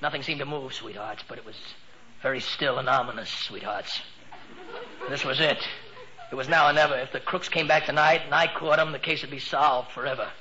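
An American man playing an excitable teenage boy in a 1940s radio comedy, telling his story with breathless mock drama. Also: mid-range voice, fairly quick, natural voice. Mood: suspenseful boasting. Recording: noisy, dull 1940s radio recording.

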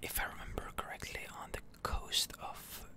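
A game streamer speaking in a breathy, unvoiced whisper, giving soothing ASMR commentary with drawn-out words. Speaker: video game streamer and channel host.

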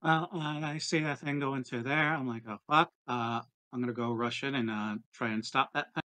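A man's voice: medium pitch, steady tone, normal speed, sharp tone. A man playing a tabletop game online, chatting casually about what he does next.

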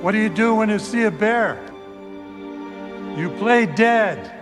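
An actor playing a calm man giving survival advice in a film clip, heard over loud music. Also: high-pitched, slow. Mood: teasing.

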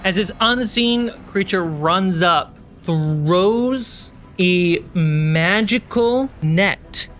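A man running a tabletop game as game master, narrating dramatically with deliberate pauses. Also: sits high, animated, a bit bright, slight background noise. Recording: background music under the voice.

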